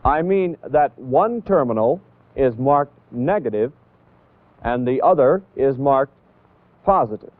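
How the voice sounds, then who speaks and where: A man's voice: mid-range voice, lively intonation, no room sound. Tinny 1960s American training film man. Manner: deliberate, didactic, emphatic on key points. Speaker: instructor narrating a military training film. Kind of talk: 1960s scripted instructional narration.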